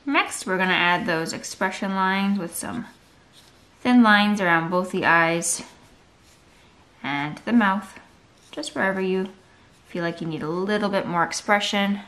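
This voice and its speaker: A woman's voice: medium pitch, unhurried, pauses often, fairly bright tone. A woman art teacher giving a step-by-step drawing tutorial for children, in simple, encouraging instructional phrases.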